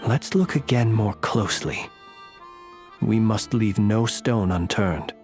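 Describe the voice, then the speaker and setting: A man playing a composed, analytical, enigmatic warrior in a video game, speaking in a measured, formal, solemn manner. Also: lowish voice, a little mellow. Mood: grave, measured. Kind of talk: video game character acting. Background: music.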